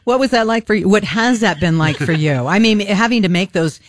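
A woman's voice: mid-range voice, lively intonation, a little fast, no room sound, clean studio sound. A woman hosting an interview in a casual, chatty way, laughing often. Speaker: host.

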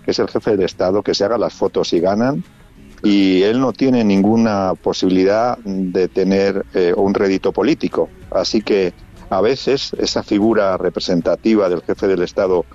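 A man's voice: low-pitched, mellow. A man from Spain, giving a casual radio report with frequent fillers and restarts. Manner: conversational, many restarts and fillers. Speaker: radio correspondent. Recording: muffled lo-fi radio audio.

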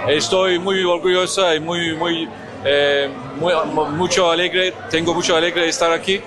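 A man with a Turkish accent answers an interview slowly and haltingly, stopping often to search for words. His voice sits fairly high for a man. A busy crowd murmurs behind him at an outdoor event. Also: fairly even intonation. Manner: halting non-native phrasing with many pauses. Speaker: ambassador guest.

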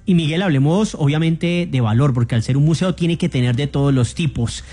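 A man interviewer whose voice runs a little high, with a mellow tone. He leads into his questions conversationally. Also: brisk, faint music behind.

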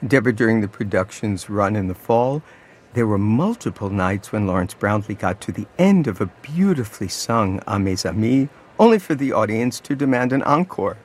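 Man, radio co-host, lowish voice, smooth, scripted. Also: animated, normal speed. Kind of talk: scripted broadcast presenting.

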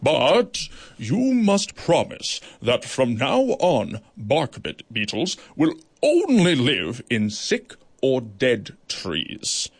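Man doing beetle judge, male, gruff, magisterial, slow, solemn, gravelly, husky. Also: medium pitch, wildly swooping pitch, heavily acted.

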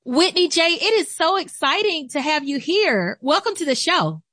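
An American woman hosts a conversational radio interview in a fairly high voice. She is effusive and informal, talking in a very dry acoustic. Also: big pitch swings, moderate pace, bright, forceful tone, dry recording. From Atlanta, Georgia, US. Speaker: interview show host.

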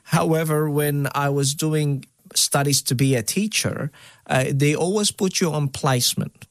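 A man's voice: fairly high pitch, a little slow, no echo. A middle-aged man from Egypt, whose first language is Arabic, telling a personal story as an interview guest, with many fillers and restarts.

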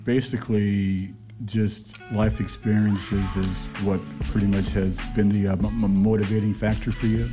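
A man's voice: on the low side, flat delivery, normal speed, hardly any pauses, over music. A man hosting a casual interview, easygoing and encouraging. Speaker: podcast host.

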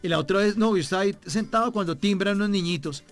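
A man's voice: high-pitched, quick pace, soft background music. A man with a Bogotá Colombian accent hosting a casual interview in a jovial, colloquial way, repeating phrases for emphasis. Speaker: radio show host interviewing a guest. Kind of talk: casual, chatty broadcast interview.

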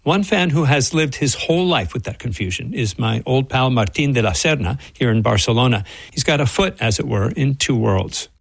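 Wry male radio correspondent, gravelly and close. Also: mid-range voice, expressive, normal speed, no room sound. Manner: wry, playful, punning. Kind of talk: scripted radio feature narration mixed with interviews.

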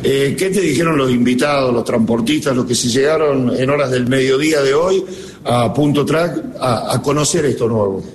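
A man's voice: mid-range voice, normal speed, a little hiss or hum. A man with an Argentine accent, interviewing a guest on radio in a friendly, conversational way. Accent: Argentine Spanish.

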